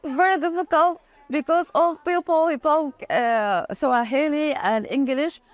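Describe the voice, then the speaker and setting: Slow, hesitant woman, native Arabic speaker. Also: sits high, no echo, highs cut off. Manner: hesitant, searching for words in limited English. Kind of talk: halting interview speech.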